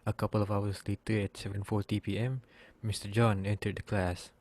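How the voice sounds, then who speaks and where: A man's voice: lowish voice, level tone, a little fast, darkish tone. A man narrating a scripted amateur drama. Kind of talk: scripted story narration for amateur reader's theater.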